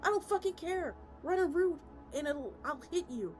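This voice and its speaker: A woman voicing an excitable, sweary football player in a dramatic story reading, frantic and exclamatory. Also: very high-pitched, moderate pace, faint music behind, voice pushed up. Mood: urgent, bossy. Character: excitable, foul-mouthed football player and play commentator.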